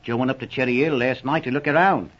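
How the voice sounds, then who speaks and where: A muffled old radio recording of a man acting a humble, flustered police subordinate. His voice is husky and mid-pitched, and he talks in a hesitant, folksy, deferential way. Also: fast talker, a bit bright, lightly acted. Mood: flustered, gloomy. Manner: hesitant, folksy, ungrammatical speech.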